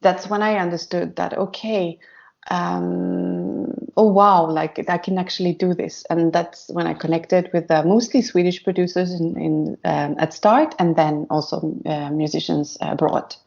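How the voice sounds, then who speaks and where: A woman's voice: lowish voice, unhurried, gravelly, a little mellow, highs cut off. A woman singer chatting in an interview, speaking English as a second language, hesitant and searching for words. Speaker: synth pop singer, guest. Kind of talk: casual interview chat.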